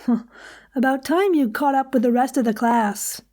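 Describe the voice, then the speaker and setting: Actress as shrewd sardonic woman, higher voice, deliberate delivery, audio drama. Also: mellow, natural voice. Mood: intent, knowing.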